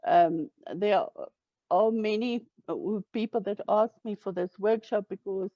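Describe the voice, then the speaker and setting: A South African woman whose first language is Afrikaans, talking hesitantly with many pauses and fillers as an interview guest. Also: medium pitch, no echo. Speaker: romance author guest. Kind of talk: casual interview. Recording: dull lo-fi audio.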